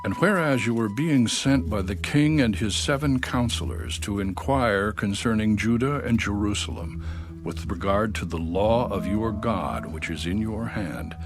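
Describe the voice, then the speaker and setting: A man playing a Persian king, formally issuing a measured, authoritative decree in a dramatised scripture reading. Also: fairly low pitch. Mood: solemn, commanding.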